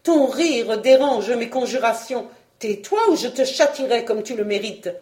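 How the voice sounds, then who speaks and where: A woman's voice: on the high side, expressive, measured pace, mellow, echoey room. A woman voicing a vain, imperious frog fairy queen in a storybook reading, with theatrical, bossy exclamations and a cruel temper. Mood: furious.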